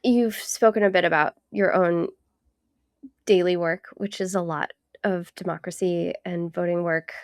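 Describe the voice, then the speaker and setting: Podcast co-host, woman, gentle and tentative, unhurried delivery, gravelly low voice. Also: fairly even intonation, dry recording, studio quality. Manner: gentle, hesitant questioning. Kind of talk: conversational podcast interview.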